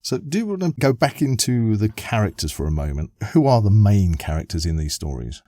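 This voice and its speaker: A man co-hosts a casual interview podcast. His voice is dark, mellow and on the low side, recorded dry. He rambles through his questions in a jokey way. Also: very animated, fairly quick, no room sound. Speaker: podcast co-host.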